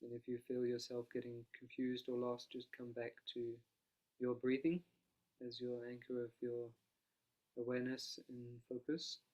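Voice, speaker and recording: A man, a monk, leading a guided analytical meditation, speaking quietly and unhurriedly without a dedicated microphone. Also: mid-range voice, level tone, rough recording. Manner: soft-spoken, quiet, unhurried.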